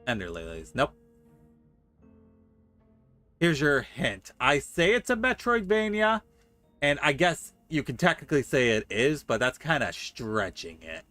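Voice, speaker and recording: A man's voice: medium pitch, very animated, measured pace, pauses often, pushed and bright, no echo, faint music behind. A man chatting casually as he streams a video game and answers his chat. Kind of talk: casual game livestream chat, reading dialogue aloud.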